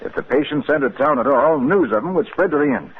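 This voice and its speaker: Actor as a resolute doctor, tinny old radio. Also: male voice, medium pitch, lively intonation, fast talker, close to an everyday voice. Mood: brisk, concerned. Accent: American. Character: resolute, rational male doctor leading a search. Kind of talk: 1950s radio acting. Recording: tinny, hissy 1950s radio recording.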